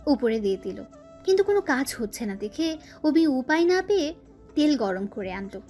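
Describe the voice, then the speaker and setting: High-pitched woman narrating over soft music. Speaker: story narrator. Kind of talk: scripted narration of a romantic story.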